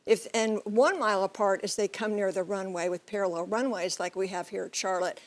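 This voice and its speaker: A woman reporting as a TV news correspondent, explaining things in measured, clear phrases. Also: medium pitch, steady tone. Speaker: TV transportation correspondent and pilot.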